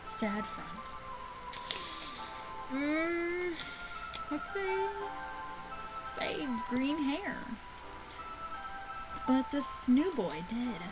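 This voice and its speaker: Slow-paced, higher-voiced woman reading a game aloud. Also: very animated, loud music behind the voice, rough recording. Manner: halting reading with stumbles and asides. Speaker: host of a gaming video, reading a visual novel aloud. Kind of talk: casual chat and read-aloud let's play.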